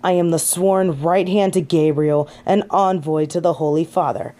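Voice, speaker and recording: A woman's voice: on the low side, fairly even intonation, moderate pace, dark tone, natural voice. A woman playing a snobby, indignant fallen angel in an audio roleplay, with pompous, formal, archaic phrasing and an easily affronted manner. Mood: haughty, defensive. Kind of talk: scripted audio roleplay acting.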